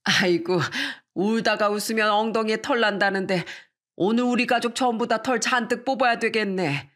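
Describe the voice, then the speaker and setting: A woman's voice: on the high side, normal speed, a bit bright, pitched above the performer's own voice, lightly acted. A woman voicing an older mother in a story, folksy and wry. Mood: tearful, joking. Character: jokey, tearful older mother. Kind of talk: scripted story dialogue.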